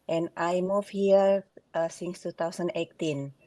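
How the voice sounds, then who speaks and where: Low, quiet-voiced woman guest. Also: steady tone, unhurried, no room sound. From Indonesia. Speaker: panel guest, medical assistant.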